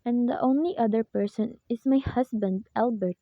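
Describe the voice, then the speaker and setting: A woman's voice: a little high, normal speed, dry recording, narrow, tinny audio. A woman playing an anxious, imperious, bedridden wealthy wife in a radio play, speaking in a fretful, insistent way. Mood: worried.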